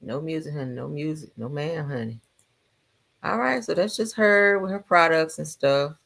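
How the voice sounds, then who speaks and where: A woman hosting a gossip livestream, giving casual reaction commentary in a sassy, colloquial way with drawn-out asides. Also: deep voice, creaky.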